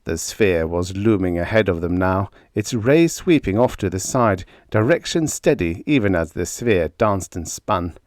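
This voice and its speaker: A man's voice: deep voice, animated, a little slow, hardly any pauses, no echo, studio quality. A man narrating an audiobook of pulp adventure fiction in a dramatic, scripted reading style.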